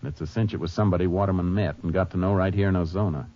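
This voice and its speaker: Calm matter-of-fact ranger, lowish voice, muffled old radio with a bit of hiss. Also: male voice, rapid-fire. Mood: steady. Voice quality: steady, terse, matter-of-fact. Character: calm, methodical Texas Ranger, narrator. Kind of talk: 1950s radio drama acting and narration. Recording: dull lo-fi old radio recording with faint hiss.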